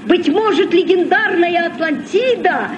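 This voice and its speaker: Eager, very high-voiced young ship's recorder. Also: animated, a little slow, distant mic. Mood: earnest. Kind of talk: vintage Soviet radio play acting. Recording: muffled, noisy old Soviet radio recording.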